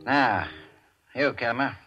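A forceful, brusque police inspector. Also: male voice, mid-range voice, takes it slowly, muffled recording, slight background noise. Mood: brusque, probing. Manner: brusque, clipped interrogation. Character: gruff, skeptical police inspector questioning suspects. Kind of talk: 1940s radio crime acting.

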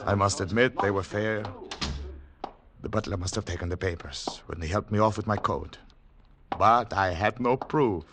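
A man's voice: lowish voice, normal speed, background noise, lightly acted. An actor playing an earnest, weary government agent in a 1950s radio drama, formal and serious in his dialogue and narration. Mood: somber narration. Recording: vintage radio drama recording.

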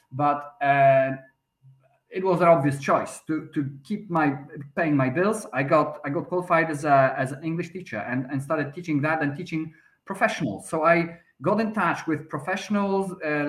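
A man in his thirties with a Polish accent, a podcast guest answering at length with frequent restarts and fillers. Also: a little high. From Poland. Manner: hesitant, many restarts and fillers. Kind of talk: conversational interview answer. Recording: roomy echoey sound.

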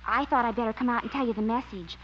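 An actress playing a kind, gently apologetic young female coworker in 1950s radio comedy. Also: on the high side, quick pace, natural voice. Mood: friendly. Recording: muffled old radio recording with hiss.

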